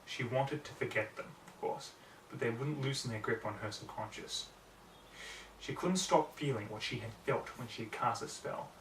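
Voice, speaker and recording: A man's voice: mid-range voice, moderate pace. A man reading scripted fiction aloud as an amateur audiobook narrator. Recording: roomy, distant mic, faint background noise.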